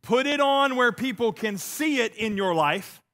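Bright-voiced animated male pastor. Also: very high voice, measured pace. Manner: animated, self-deprecating storytelling with lively gestures, pacing the stage. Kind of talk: sermon. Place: large church stage.

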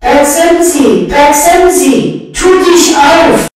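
A woman voicing a poor, curious man in a fairy tale, calling out a magic spell in a drawn-out chant. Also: high voice, a little mellow, faint music behind, pitched above the performer's own voice. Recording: strongly echoing, reverberant sound.

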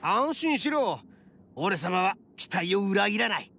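A man playing a brash, kind-hearted young oni gang boss in an anime game, with rough, swaggering speech. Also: high-pitched, big pitch swings, slow, pushed and bright, heavily acted. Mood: proud. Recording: game music behind the voice.

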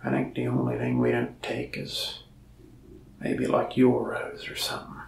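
A young man playing a lonely, friendly mask shop salesman in a soft-spoken ASMR roleplay, talking slowly and often slipping into a whisper. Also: very deep voice, sing-song, roomy sound, recorded from a distance, exaggerated voice. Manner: slow, soft-spoken, gravelly with frequent whispered stretches. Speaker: ASMR creator. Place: small indoor room, mask wall behind.

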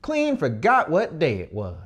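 A man's voice: on the high side, huge pitch swings, a little slow, a bit bright, husky, voice pushed up, exaggerated voice. A man voicing a cheerful, flustered small-town housewife with folksy country talk in a dramatized audiobook. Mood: folksy, breathless cheer.

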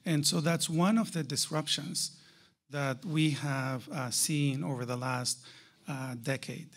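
A man's voice: mid-range voice, fairly even intonation, slow. A man giving a conference keynote to a live audience, emphatic, with rhetorical questions and repeated key phrases. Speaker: keynote speaker.

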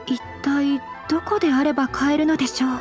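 A woman voicing a gentle, refined young noblewoman with very polite, measured speech in an anime game. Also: high voice, steady tone, close to an everyday voice. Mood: composed, thoughtful. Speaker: game voice actor. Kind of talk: scripted anime game dialogue. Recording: studio voice over game music.

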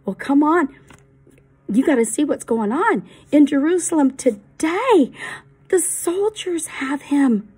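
A woman voicing an excited young boy who rushes in with urgent news in a story. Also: high-pitched. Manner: urgent, breathless telling.

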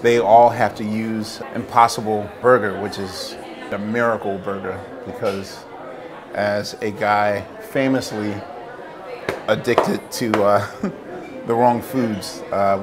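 A man hosting the event, talking in a relaxed, self-mocking way. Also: lowish voice. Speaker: host. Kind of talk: documentary interview.

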